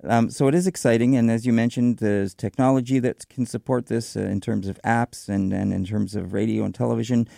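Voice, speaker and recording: A man hosting a radio talk show, interviewing a guest in a measured way with some fillers. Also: medium pitch, steady tone, gravelly, dark tone, no echo, clean studio sound. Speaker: radio host. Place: radio studio.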